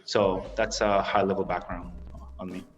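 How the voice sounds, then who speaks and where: Hesitant man from Peru on a mentor panel, lower voice, echoing room. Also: flat delivery, normal speed, lo-fi sound, faint music behind. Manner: hesitant, many uhs. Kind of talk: livestream Q&A self-introduction. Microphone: video call.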